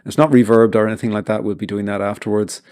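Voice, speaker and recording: A man narrating an informal software tutorial as its host, explaining things step by step. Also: fairly low pitch, steady tone, fast talker, clean tone.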